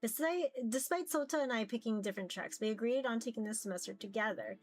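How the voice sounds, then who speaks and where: A woman reading a visual novel aloud in an expressive first-person narration, occasionally stumbling over words. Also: fairly high pitch, quick pace, dry recording. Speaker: VTuber reading a game aloud. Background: music.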